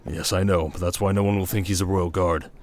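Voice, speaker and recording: A man's voice: low voice, fairly even intonation, fairly quick, slight background noise, close to an everyday voice. A man playing a proud, protective warrior king in a fantasy roleplay, with a commanding, sardonic manner. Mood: urgent, resolute. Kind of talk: dramatic fantasy ASMR roleplay.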